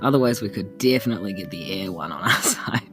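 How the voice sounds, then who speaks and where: A woman's voice: extremely low pitch, lively intonation, fast, over music. A woman with a New Zealand accent chatting casually as a player in a tabletop game.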